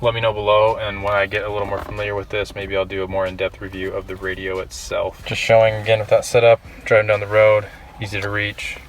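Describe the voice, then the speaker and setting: A man's voice: fairly low pitch, fairly bright tone. A young man giving a casual hands-on video walkthrough with informal, laid-back phrasing, recorded in and around a car. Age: young adult. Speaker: vlogger showing a car radio install. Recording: noisy, distant camera mic around a vehicle.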